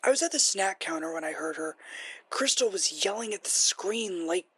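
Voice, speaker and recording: A haunted female bystander played by an actress with a husky, breathy voice of medium pitch. She gives a subdued, regretful account in a radio drama. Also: lively intonation, moderate pace, a bit bright, poor audio. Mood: uneasy. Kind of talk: radio drama witness interview.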